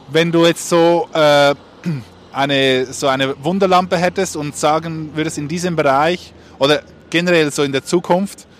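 A man conducting spontaneous street interviews, asking questions in a halting, informal way. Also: a little high. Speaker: podcast interviewer. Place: outdoors on an urban street. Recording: street noise, traffic around.

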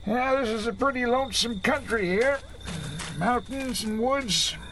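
An actor playing a folksy, chatty roadside station attendant in a 1940s radio drama, with rambling, colloquial speech. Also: male voice, very high-pitched, a little slow, bright, forceful tone, exaggerated voice. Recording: noisy vintage 1940s radio recording.

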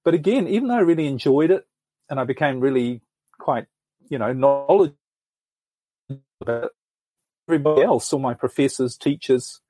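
A middle-aged man tells his story thoughtfully, as if working it out as he speaks. His voice is husky and a little breathy, and it sits mid-range. It is recorded close and dry, with no room sound. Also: mid-range voice, animated, a little fast, long pauses, mellow, no room sound. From New Zealand. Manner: earnest, thoughtful storytelling with frequent restarts. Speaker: podcast guest. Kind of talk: reflective life story in an interview.

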